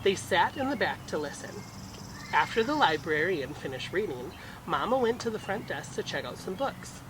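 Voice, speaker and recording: Male storytime reader, highish voice, very animated, picture-book narration, clear background noise. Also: normal speed, recorded from a distance. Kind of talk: expressive picture-book reading with asides to children.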